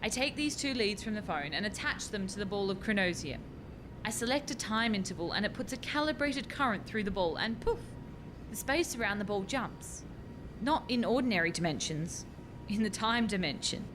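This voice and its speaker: A woman's voice: expressive, moderate pace, natural voice. A woman playing a keen, exasperated young physics researcher in a radio farce. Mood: eager, teasing. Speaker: actor in a radio play. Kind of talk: radio play farce acting. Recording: noisy home recording with low rumble.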